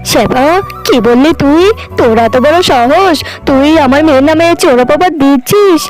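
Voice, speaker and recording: Actress as a high-voiced, contemptuous cartoon neighbor, faint music behind. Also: female voice, normal speed, close to an everyday voice, low-quality recording. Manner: haughty, contemptuous, shouting insults. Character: haughty, snobbish neighbor woman.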